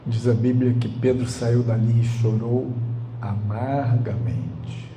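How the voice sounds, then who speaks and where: A man from Brazil with a carioca accent, a pastor retelling a story as a measured, dramatic sermon. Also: mid-range voice, fairly even intonation, noisy background. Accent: Brazilian Portuguese, carioca.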